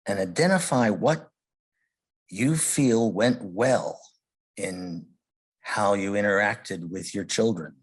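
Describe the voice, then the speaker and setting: A man with a somewhat low, husky voice leads a guided meditation. He speaks very slowly and gently, leaving long pauses between short phrases. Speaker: meditation leader.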